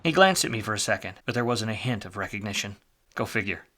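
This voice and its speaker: A man acting a wry, smooth-talking hard-boiled private detective in a 1940s-style noir radio play. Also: lowish voice, lively intonation, quick pace, pushed and bright. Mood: wry, brooding.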